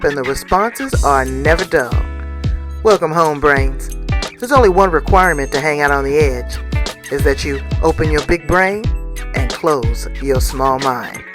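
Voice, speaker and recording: A woman with a very low voice gives a scripted show intro with dramatic, punchy timing. Clear music plays underneath her. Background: music bed.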